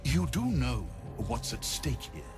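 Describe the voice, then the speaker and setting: An actor playing a haughty, sinister necromancer in a video game, with pompous, theatrical, drawling delivery. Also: male voice, mid-range voice, huge pitch swings, a little slow, gravelly, music in the background, heavily acted. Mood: haughty, dismissive. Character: sinister, haughty male necromancer, vain about his grisly creations. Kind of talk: dramatic video game acting.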